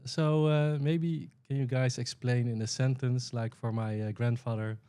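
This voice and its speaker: A man's voice: medium pitch, fairly even intonation, measured pace, mellow, smooth, no echo. A man hosting a podcast, friendly, laughing often and giving short affirmations. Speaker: podcast host.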